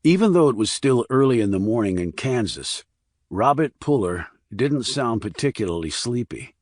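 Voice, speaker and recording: A man's voice: medium pitch, sing-song, normal speed, darkish tone, no echo, studio quality. A man reading a crime novel as scripted audiobook narration.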